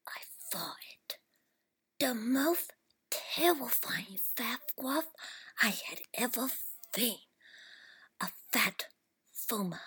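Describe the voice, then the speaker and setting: A paranoid pet dog, voiced by a woman, tells his story in a hushed, heavily breathy whisper. The voice sits high and bright with a gravelly fry underneath, and the telling goes slow and drawn out. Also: full character voice. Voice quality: whispery, creaky. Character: anxious dramatic pet dog, paranoid and brave.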